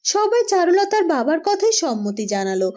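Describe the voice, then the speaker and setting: A woman's voice: very high voice, very animated, normal speed, warm tone. A woman narrating a scripted fairytale as the storyteller.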